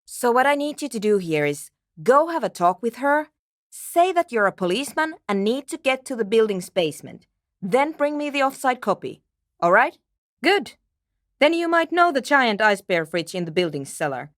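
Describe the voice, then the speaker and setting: A woman voice actor playing a driven, intellectual woman programmer in a video game, with a brisk, matter-of-fact, technical way of talking. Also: a little high, very animated, a bit bright, no echo, studio quality. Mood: businesslike, impatient. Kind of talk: scripted game character dialogue.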